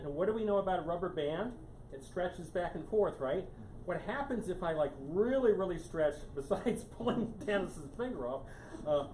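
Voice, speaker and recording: A man's voice: high voice, a little mellow. A man giving a casual live lecture to a small audience, restarting his sentences and tacking "here" onto almost every phrase. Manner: casual, repeats "here" constantly, many restarts. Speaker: guest speaker on climate science. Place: meeting room with a live audience. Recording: roomy, distant mic with background noise.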